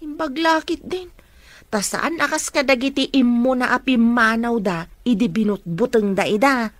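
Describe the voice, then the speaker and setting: Ilocano radio drama: respectful female employee and aunt, played by an actress, higher register, dry studio sound. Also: normal speed, no echo, close to an everyday voice. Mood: deferential, concerned.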